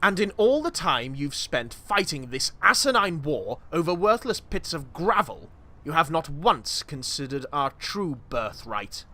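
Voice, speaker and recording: Man as a forceful, condescending old villain. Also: a little high, big pitch swings, exaggerated voice. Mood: scornful. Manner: dry, measured, condescending. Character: cold, scheming, scornful elderly villain, spurned brother.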